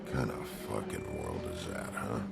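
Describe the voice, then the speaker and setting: Very deep, gravelly, bitter woodsman. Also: huge pitch swings, normal speed, loud music behind the voice, full character voice. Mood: bitter, brooding. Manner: coarse, swearing, rambling. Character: gruff, down-and-out woodsman, bitter, self-loathing brute. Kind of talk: dramatic video game acting.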